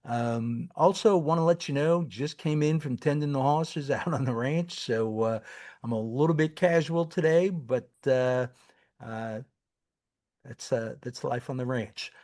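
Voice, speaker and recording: A man with a New York accent talks through tax history on a podcast. His voice sits in a middle pitch. His delivery is easygoing and unscripted, with plenty of ums and uhs. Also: measured pace. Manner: relaxed, many ums and uhs. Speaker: podcast co-host. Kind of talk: casual podcast explainer.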